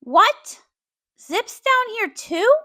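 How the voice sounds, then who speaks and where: A woman voicing a warm-hearted, emotional young female pony in a cartoon comic dub, expressive and casual. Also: extremely high pitch, extremely slow, no room sound, clean studio sound, full character voice. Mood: relieved, surprised. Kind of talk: animated comic dub voice acting.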